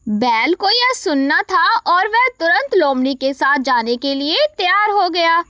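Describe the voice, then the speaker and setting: A woman narrates a scripted story in a high, bright voice. She takes her time over each phrase. The sound is slightly dull and lo-fi. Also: sing-song, unhurried, no echo.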